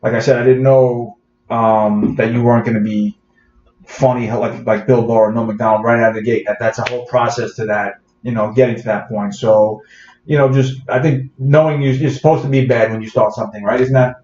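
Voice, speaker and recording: A quick-talking man with a thick Bronx accent, rambling through a casual podcast chat with plenty of fillers and restarts. The audio is dull and roomy, with a faint background hum. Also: mid-range voice, fairly even intonation, a little mellow. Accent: Bronx New York. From the Bronx, New York. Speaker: guest comedian.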